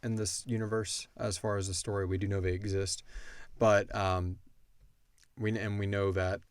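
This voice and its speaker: A man's voice: on the low side, flat delivery, moderate pace, no room sound. A man co-hosting a casual question-and-answer podcast about an audio drama, informal and slangy as he thinks aloud.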